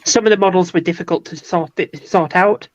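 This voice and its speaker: Mid-pitched guest on a dull dry mic. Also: a little fast, no echo. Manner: explains painting steps plainly, teasing. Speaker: guest painter. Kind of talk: casual hobby chat.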